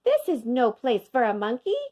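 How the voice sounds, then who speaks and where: A woman's voice: a little high, wildly swooping pitch, moderate pace, dark tone, voice pushed up. A woman voicing a harried male pancake cook in a storybook, urgent and exclaiming. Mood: indignant. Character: harried pancake cook, a flustered grown man. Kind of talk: storybook read-aloud character voice.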